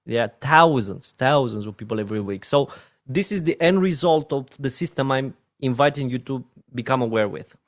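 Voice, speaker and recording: A man's voice: mid-range voice, lively intonation, moderate pace. A man with a Romanian accent, a network marketing trainer giving a training talk in an emphatic way, often checking in with his listeners. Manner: emphatic, often asks "right?" and "my friend".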